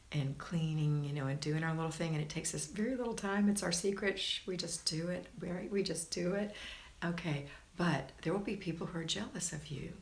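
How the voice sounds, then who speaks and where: A woman's voice: sits low, creaky. A middle-aged woman chatting live and reading out comments in an encouraging, folksy manner, like a homemaking coach. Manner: encouraging, folksy, addressing listeners as hun and honey. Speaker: livestream host and homemaking coach. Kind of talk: casual live chat, reading and answering viewer comments. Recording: roomy, echoing home recording.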